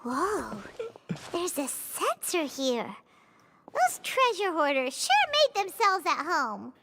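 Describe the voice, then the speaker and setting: A tiny game companion with a very high, wildly swinging voice. She stretches her words slowly and sounds excitable, and loud noise sits behind her. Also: full character voice. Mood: chirpy.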